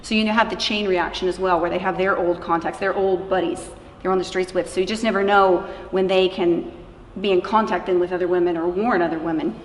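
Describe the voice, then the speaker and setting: A woman's voice: on the low side, fairly even intonation, brisk. A woman giving earnest, conversational answers as an interviewee about her work. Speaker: interviewee, missionary running an anti-trafficking organization. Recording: echoey reverberant room, faint noise.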